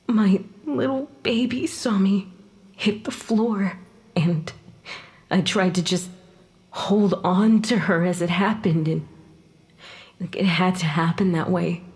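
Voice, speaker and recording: An actress playing the wounded, bitter ghost of a dead mother in an audio drama, speaking haltingly and with emotion. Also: on the low side, a little mellow. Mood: anguished, tender. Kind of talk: naturalistic audio drama acting.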